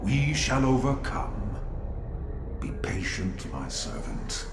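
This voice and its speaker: A man voicing an ancient, haughty cosmic god in a video game cutscene, speaking in a pompous, deliberate way. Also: lowish voice, big pitch swings. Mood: haughty, assured. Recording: noisy, echoing game audio.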